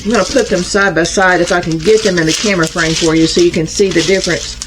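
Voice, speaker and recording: A woman hosting a casual crafting unboxing vlog, chatty and homey, slightly raspy from a starting cold. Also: lowish voice, steady tone, fast, noisy background. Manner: chatty, homey, addresses viewers as y'all. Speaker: crafting channel host.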